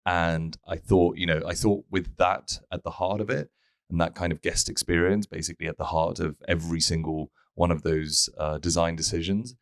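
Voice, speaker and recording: A man's voice: sits low, flat delivery, moderate pace, no echo, studio quality. A man from the Czech Republic who speaks with an English accent, telling his story in a rambling, conversational way as an interview guest. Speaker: interview guest, tech founder.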